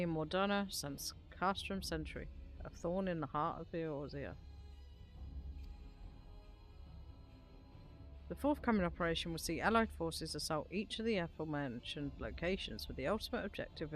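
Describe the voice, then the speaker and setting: A woman voicing a stern military commander, reading fantasy game briefing dialogue in a formal, deliberate way. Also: sits low, lively intonation. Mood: grave, resolute. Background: background music.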